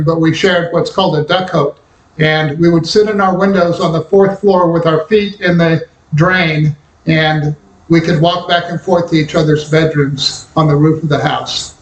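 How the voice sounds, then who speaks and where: An older man from Kansas tells his life story in a calm, reflective manner, taking his time over each memory. His voice sits a little high for a man. The sound carries a noticeable room echo. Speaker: interviewee recalling his life. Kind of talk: personal memoir narration. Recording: roomy echo.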